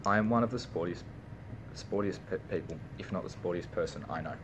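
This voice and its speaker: A man's voice: low voice, fairly quick, background noise. A young Australian man, a university student, reading a prepared personal speech with small slips and restarts. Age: young adult.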